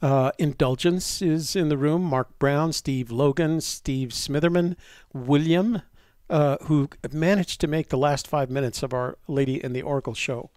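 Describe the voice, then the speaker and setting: A man's voice: mid-range voice, a little mellow, no echo. Stuffy older American man, dry close sound. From New Mexico, United States. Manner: halting, often pausing mid-thought. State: stuffy, getting over a cold. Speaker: live stream host. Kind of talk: casual live chat, rambling.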